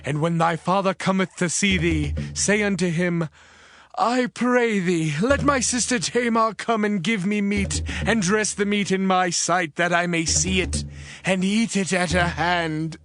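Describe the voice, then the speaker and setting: An actor playing a sly male adviser who speaks in a slow, coaxing way. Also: high-pitched, fairly even intonation, a bit bright.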